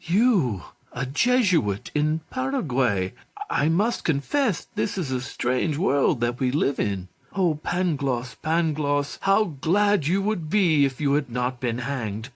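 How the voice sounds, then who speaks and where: A man's voice: a little high, slow pace, creaky, pitched above the performer's own voice, heavily acted. A man voicing an overjoyed, emotional young man who exclaims in amazement in a storybook reading. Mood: amazed, excited. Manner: excited, exclamatory.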